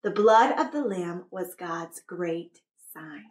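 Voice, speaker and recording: A woman's voice: fairly low pitch, lively intonation, slow. A woman in her twenties hosting children's story time, speaking in an encouraging, smiling, sing-song way. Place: small indoor set with a couch. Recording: roomy sound, distant microphone.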